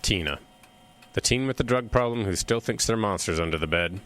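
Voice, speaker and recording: Low-voiced, restrained military man, played by an actor. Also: animated, brisk, fairly bright tone, a little hiss or hum. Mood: uneasy, restrained. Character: uneasy, restrained military officer with ethical doubts. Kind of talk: audio horror drama acting.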